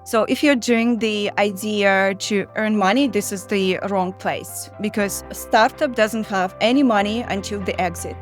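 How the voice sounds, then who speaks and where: A woman's voice: medium pitch, measured pace, hardly any pauses, soft background music. A woman in her thirties from Belarus, speaking as a guest in an interview, talking with frequent fillers and restarts. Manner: hesitant, many fillers and restarts. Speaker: guest investor. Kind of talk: conversational interview.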